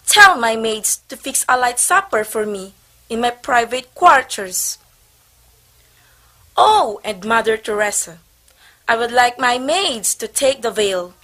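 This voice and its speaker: A woman's voice: on the high side, pushed and bright, heavily acted. A woman playing a haughty, self-willed Spanish princess in a historical stage play, imperious and demanding.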